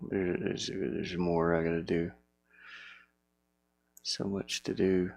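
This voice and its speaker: Male game dev, lowish pitch, very unhurried, casual think-aloud in muttered fragments. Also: little pitch movement, gravelly, a little mellow, slight background noise. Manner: muttering to himself in short fragments. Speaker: indie game developer.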